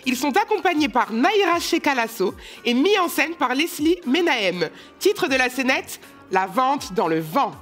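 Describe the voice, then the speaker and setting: Lively, joking woman host. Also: high-pitched, moderate pace, over music. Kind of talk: stage announcing.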